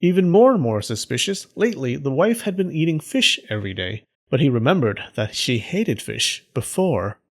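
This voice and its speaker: A man narrating a scripted folktale in a humorous storytelling style, with deadpan joking asides. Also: medium pitch, moderate pace, hardly any pauses. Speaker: storyteller, channel host.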